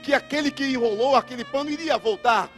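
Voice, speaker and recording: High-pitched Brazilian man preaching. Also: fast, fairly bright tone, soft background music. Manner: emphatic, repeating phrases, dramatizing dialogue. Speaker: evangelist preacher. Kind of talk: impassioned church sermon retelling a Bible story.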